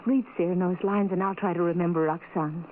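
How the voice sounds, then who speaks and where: A woman's voice: lowish voice, fairly even intonation, a little fast, natural voice. Actress doing a lovestruck young woman in an old radio play, earnest n romantic, tinny noisy recording. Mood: eager. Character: tender, adoring blind young woman in love. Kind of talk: old-time radio drama acting.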